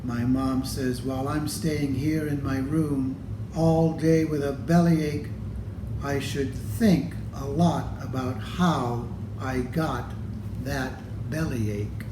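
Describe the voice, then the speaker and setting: Slow-paced man reading to kids, echoey room. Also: medium pitch. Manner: deliberate, expressive, addressing children. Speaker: storybook reader. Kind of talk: children's read-aloud storytelling. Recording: echoey room with clear background noise.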